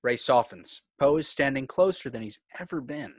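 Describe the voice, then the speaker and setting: A man narrating stage directions for a scripted fan table read in a measured, clipped way. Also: mid-range voice, animated, dry recording, clean studio sound.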